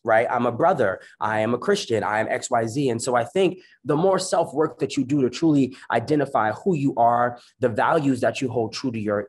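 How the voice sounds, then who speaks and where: A man's voice: medium pitch, slightly rough. A man whose first language is Spanish, speaking earnestly on a video call panel, often restarting and asking "right?". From United States. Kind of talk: reflective panel discussion. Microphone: webcam on video call.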